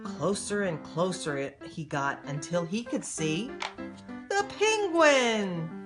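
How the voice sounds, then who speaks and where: Older female storyteller, grandmother type, slightly low register, highly varied intonation, simple direct delivery for young children. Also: big pitch swings, takes it slowly, music in the background. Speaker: grandmother reading aloud to grandchildren. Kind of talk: expressive children's storybook read-aloud.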